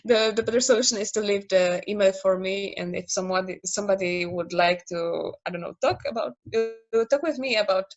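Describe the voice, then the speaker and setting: A woman's voice: medium pitch, fairly quick, few pauses, muffled recording, music in the background. Hesitant Polish-accented woman. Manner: hesitant, many fillers and restarts, laughs often. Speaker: interview guest, naming specialist. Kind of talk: casual interview conversation.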